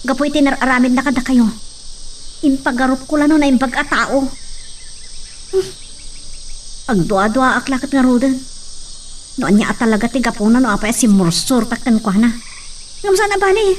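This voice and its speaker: A woman's voice: high voice, moderate pace, long pauses, recorded from a distance, a little hiss or hum, natural voice. A woman voicing a worried young daughter in a radio drama.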